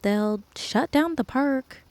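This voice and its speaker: A woman's voice: sits high, lively intonation, takes it slowly, darkish tone, no echo, full character voice. An actress playing a wry, blunt, anxious woman park worker in an audio drama. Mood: anxious, glum.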